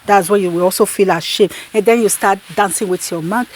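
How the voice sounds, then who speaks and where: A woman's voice: medium pitch, fairly quick, darkish tone, slight background noise. A woman host giving her opinion in an insistent, repetitive way, restarting her sentences often. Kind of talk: commentary.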